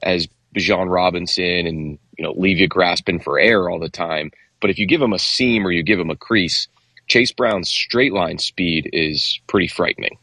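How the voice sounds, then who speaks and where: A man analyzing football on a sports talk show, measured and thinking aloud as he weighs each point. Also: low voice, sharp tone, breathy edge, no echo.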